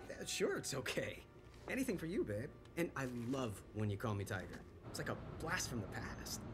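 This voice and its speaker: A man's voice: medium pitch, wildly swooping pitch, moderate pace, noisy background. An actor playing a young adult male scientist hero, easygoing and joking.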